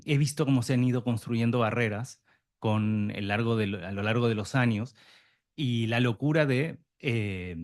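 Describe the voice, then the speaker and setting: A man speaking Spanish with a Buenos Aires accent, pausing often and circling back as he thinks aloud. His voice sits at an ordinary male pitch. He closes a round table in a meeting room before a live and online audience. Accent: Argentine, Buenos Aires. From Argentina, Buenos Aires. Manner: hesitant, many fillers and restarts, reflective. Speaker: representative of mediator psychologists. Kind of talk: closing remarks at a round table.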